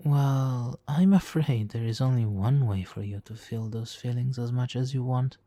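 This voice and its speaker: A man playing a smug, seductive supervillain hypnotist in an ASMR roleplay, speaking softly and theatrically with lapses into whispers. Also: medium pitch, normal speed, no echo, natural voice. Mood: seductive, persuasive.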